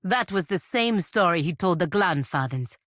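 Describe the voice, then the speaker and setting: Deep-voiced, proud, stern knight. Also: female voice, animated, a bit bright, no echo, studio quality. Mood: dry, disapproving. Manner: formal, measured, grave. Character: proud, duty-bound godlike woman knight, stern and skeptical. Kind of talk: video game voice acting.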